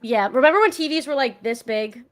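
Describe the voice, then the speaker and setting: A woman's voice: on the high side, lively intonation, brisk. A woman in her late twenties, a guest on a casual chat, talking in a chatty way with lots of "like" and frequent giggles. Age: 20s. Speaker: guest content creator.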